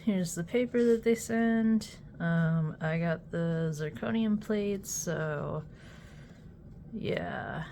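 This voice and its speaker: A woman with a cold, sniffly, doing an unboxing with a halting, hesitant way of talking and many fillers. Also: on the low side, creaky, dark tone, a little hiss or hum. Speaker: unboxing video host. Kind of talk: casual unboxing commentary.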